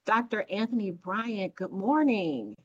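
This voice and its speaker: Slow-speaking woman radio host. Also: medium pitch, slightly rough, muffled recording. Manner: slow, deliberate introductions. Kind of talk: radio talk show hosting.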